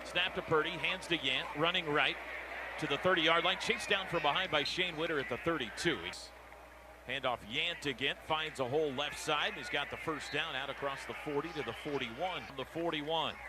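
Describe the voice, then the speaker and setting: American male play-by-play radio caller, higher register, terse urgent phrasing, noisy stadium broadcast. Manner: clipped, urgent running description. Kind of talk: live American football radio play-by-play commentary. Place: outdoor football stadium. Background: steady stadium noise.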